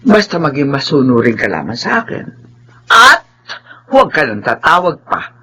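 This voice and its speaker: Radio drama casting: wealthy Filipino grandmother, older, husky and imperious, doting yet scornful, animated. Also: deep voice, normal speed, full character voice. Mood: scornful, commanding.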